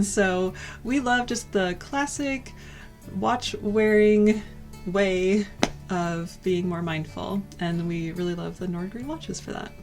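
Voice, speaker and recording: An American woman co-hosting a lifestyle vlog, talking in a soft, warm way and laughing often. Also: mid-range voice. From United States. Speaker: vlog co-host. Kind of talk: casual cozy chat and sponsor read.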